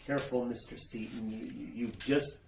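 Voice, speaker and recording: A man playing an unflappable, slightly fussy judge with a halting, courteous manner in a retro radio horror play. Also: mid-range voice, fairly even intonation, gravelly, darkish tone, a little hiss or hum, natural voice. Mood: cautious. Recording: roomy, echoing sound.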